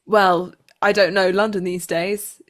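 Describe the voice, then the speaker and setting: A woman's voice: medium pitch, flat delivery, measured pace. A young adult woman chatting casually as an actor being interviewed, giggly and self-deprecating.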